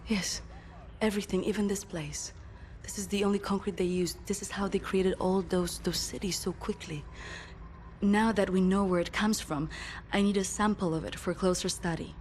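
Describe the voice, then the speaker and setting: A woman playing a calm studious researcher. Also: mid-range voice, normal speed, a little hiss or hum, natural voice. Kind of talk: video game cutscene acting.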